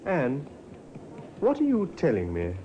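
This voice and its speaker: A man's voice: fairly high pitch, huge pitch swings, measured pace. An actor playing a polite, pliable and hesitant young clergyman in a 1950s radio drama. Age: young adult. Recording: muffled, noisy old radio recording.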